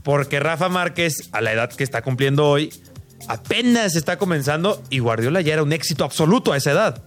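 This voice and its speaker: A man's voice: on the high side, animated, a little fast, a bit bright, soft background music. A man with a Mexican accent co-hosting a casual sports debate, speaking emphatically and repeating himself. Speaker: sports show co-host.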